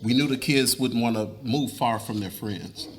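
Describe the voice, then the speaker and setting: A medium-pitched male voice , a man reading the part, gives us an earnest middle-aged husband and father. He explains himself in a confessional way, as in a dramatic reading of a domestic novel. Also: normal speed, lightly acted. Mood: confessing, earnest. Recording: live reading with room noise.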